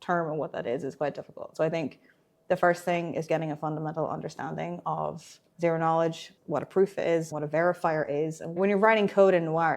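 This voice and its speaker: A woman from Ireland with an Irish accent tinged with American, speaking as a developer relations engineer in a conference interview, enthusiastic and quick to laugh. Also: deep voice, a little mellow. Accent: Irish with some American. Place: conference venue.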